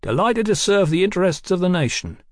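A man's voice: high-pitched, very animated, fairly quick, no echo, clean studio sound, pitched above the performer's own voice. A man voicing a cheeky private detective in an audiobook, slangy and insolent. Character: sly, cheeky Cockney private detective, a vicious rascal.

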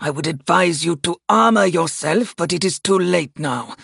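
Male narrator as a battle-hardened commander, gruff, forceful, commanding, dry studio sound, fantasy story. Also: on the high side, normal speed, no echo, exaggerated voice. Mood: grim, terse.

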